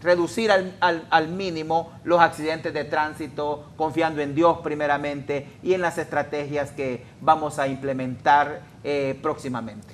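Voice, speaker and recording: A man speaking as a traffic police official in a studio interview, explaining in a measured, hesitant way with many fillers. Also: on the high side, level tone.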